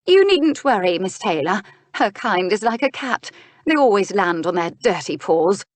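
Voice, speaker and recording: A woman's voice: mid-range voice, sing-song, moderate pace, no echo, close to an everyday voice. A woman voicing a haughty, indignant duchess and mother in a historical romance audiobook, dramatic and scornful.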